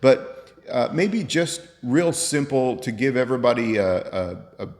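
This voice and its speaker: A man's voice: mid-range voice, lively intonation, unhurried, darkish tone. A man in his fifties hosting a show in a casual, conversational and motivational way. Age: 50s. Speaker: host. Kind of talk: casual chat to camera. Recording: echoey room with long reverb.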